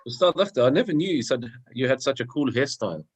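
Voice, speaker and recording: Chatty man with a mid-pitched voice. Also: animated, fast, slightly rough. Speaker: co-host of an online children's session. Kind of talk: casual chat, greeting children by name. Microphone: video call.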